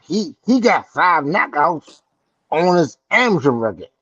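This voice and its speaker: A man's voice: on the high side, big pitch swings, unhurried, fairly bright tone, husky, no echo, dull audio. A man co-hosting a casual boxing chat who cuts in bluntly and swears freely. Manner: blunt, profane, cuts in with "hold on". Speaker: boxing podcast co-host.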